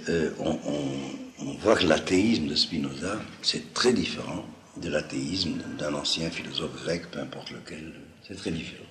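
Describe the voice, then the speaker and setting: A man with a low voice and a slight Toulouse-like accent, a native speaker of Judeo-Arabic, lectures off the cuff. He explains didactically and haltingly, repeating phrases for emphasis. The room echoes around him, with faint noise behind. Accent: slight southwestern French (Toulouse-like). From Algeria. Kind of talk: extemporaneous lecture to listeners. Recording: roomy echo, faint background noise.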